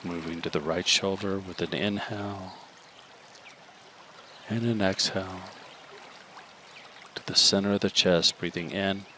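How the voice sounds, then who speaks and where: A man leading a guided meditation, giving slow, calm instructions with long pauses between them. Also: low-pitched, slight background noise.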